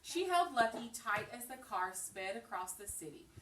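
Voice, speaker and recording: A woman reading a picture book aloud to young children, pausing to ask them questions and echo their answers. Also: fairly high pitch, sing-song, a bit bright. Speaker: preschool teacher reading aloud. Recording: roomy, distant mic, faint noise.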